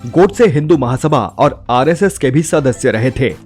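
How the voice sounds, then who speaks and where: A man delivers a scripted review in a mid-pitched, slightly rough voice. He leans on rhetorical phrasing, stressing his points as a narrator would. Soft music plays behind him. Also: lively intonation, normal speed. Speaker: film reviewer. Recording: music bed under the voice. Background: background music.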